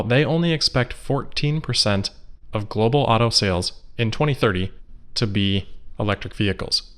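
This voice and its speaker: A man hosting a solo investor news podcast, giving scripted commentary in a measured, analytical, explanatory way. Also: lowish voice.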